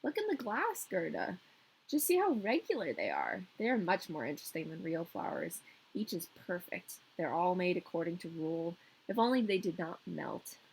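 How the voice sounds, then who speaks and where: A woman's voice: lowish voice, sing-song, normal speed, vocal fry, a little hiss or hum, low-quality recording. A woman reading the part of a blunt, scornful young boy in a fairy tale, curt and critical. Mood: coolly absorbed.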